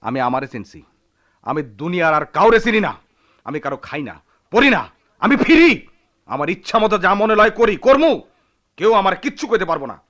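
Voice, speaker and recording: An actor playing a proud, hot-tempered poor village husband in a Bengali radio drama, with a rural Bangladeshi accent and a theatrical, defiant manner. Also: male voice, sits high, sing-song, brisk, pauses often, fairly bright tone. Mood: furious, defiant.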